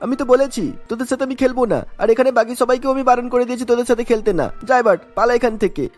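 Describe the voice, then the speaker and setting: A woman's voice: a little high, steady tone, very fast, darkish tone, soft background music, natural voice. A woman voicing a cocky boy bully, the mocking ringleader of a playground in a cartoon. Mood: mocking, defiant. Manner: taunting, dismissive. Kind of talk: cartoon dialogue acting.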